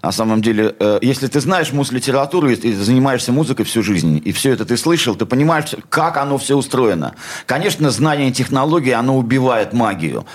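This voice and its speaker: A man with a mid-range voice talks calmly in a radio conversation. He explains his views in a reflective, even manner. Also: mid-range voice, a little fast. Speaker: studio guest, lyricist.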